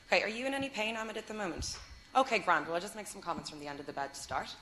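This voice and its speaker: A woman's voice: mid-range voice, lively intonation, very fast, bright, forceful tone, slight background noise. A young woman medical student, talking politely and hesitantly through a practice exam with plenty of fillers. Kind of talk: role-play exam demo.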